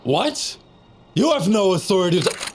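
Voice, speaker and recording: Man playing a slow, stern captain. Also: high voice, big pitch swings. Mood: shocked, angry. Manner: deliberate, interrogating, authoritative. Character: stern, suspicious East German military captain. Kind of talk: cinematic audio drama acting. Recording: faint vehicle noise behind.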